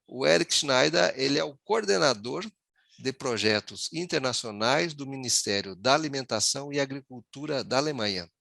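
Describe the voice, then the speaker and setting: Guy hosting a webinar, gravelly, bright tense tone, lots of pauses on fillers. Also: mid-range voice, expressive, no room sound, clean studio sound. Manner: measured, with frequent hesitation fillers. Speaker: webinar moderator. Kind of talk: formal webinar introduction.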